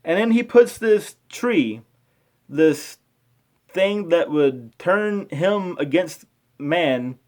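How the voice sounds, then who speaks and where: A man giving informal spoken commentary as a video host, halting, repeating himself and arguing his points. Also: fairly high pitch, animated, darkish tone. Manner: halting, repetitive, argumentative.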